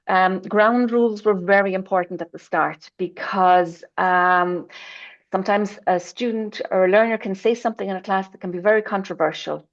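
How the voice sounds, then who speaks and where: Woman presenter, casual ums, slightly dull call audio, mid pitch. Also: creaky. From Ireland. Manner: informal, with frequent ums and apologies while sharing her screen. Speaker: adult education presenter. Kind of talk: online conference presentation.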